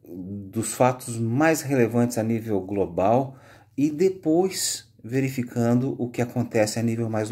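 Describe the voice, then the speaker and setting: A Brazilian man speaking as a high school history teacher, giving an informal, conversational lecture with frequent tag questions. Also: warm tone, husky. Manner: conversational, explanatory, frequent "né" tags.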